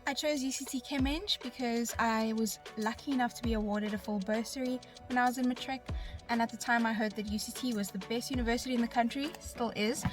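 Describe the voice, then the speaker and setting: A woman in her twenties with a South African accent, giving a personal testimonial as a recent graduate. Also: a little high. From Bloemfontein, South Africa. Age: 20s. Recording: voice over background music. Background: music.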